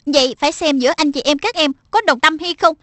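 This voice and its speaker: Woman as a high-pitched preachy little magic creature. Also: fast talker, fairly bright tone, no room sound. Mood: stern, admonishing. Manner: admonishing, instructive. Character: small magical lion-like pet spirit, preachy older-brother mentor. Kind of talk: cartoon dub.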